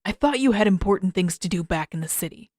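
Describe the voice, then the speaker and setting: A woman's voice: lively intonation, fast talker, darkish tone, no room sound, studio quality, lightly acted. A woman voicing a surly, guarded but earnest teenage prince in a terse audiobook character voice. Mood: irritated. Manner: terse, irritated, low-key.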